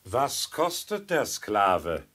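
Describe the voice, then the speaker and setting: Commanding, kindly Persian prince for radio drama: low voice, calm authority, terse lordly delivery. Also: male voice, extremely slow, a little hiss or hum, exaggerated voice. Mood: curt, lordly. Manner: brief, authoritative questions and orders. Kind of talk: German radio play acting.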